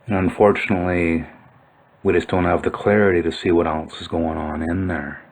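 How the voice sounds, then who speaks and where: Low-voiced man musing over old photos. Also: fairly even intonation, moderate pace. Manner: musing, thinking aloud. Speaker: video narrator. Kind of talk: informal explanatory commentary over old photos.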